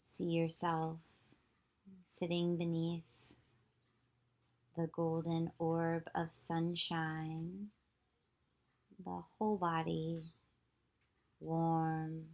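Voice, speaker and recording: A woman yoga teacher leading a guided visualization meditation, giving slow, soothing instructions with long pauses. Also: low-pitched, flat delivery, a little mellow.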